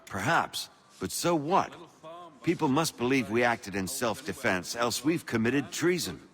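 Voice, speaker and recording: An actor playing a shrewd, persuasive colonial revolutionary leader in a video game, speaking formally and rhetorically with assurance. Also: male voice, medium pitch, expressive, unhurried, a bit bright. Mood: assured, pragmatic. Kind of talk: dramatic game dialogue. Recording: long reverberant hall echo.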